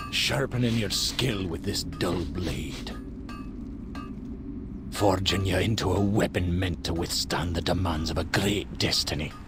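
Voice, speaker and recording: A man playing a gruff, sorrowful old dwarf warrior, wistful and fatherly, in a fantasy game. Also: deep voice, full character voice. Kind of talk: fantasy game cutscene acting. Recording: loud music under the voice.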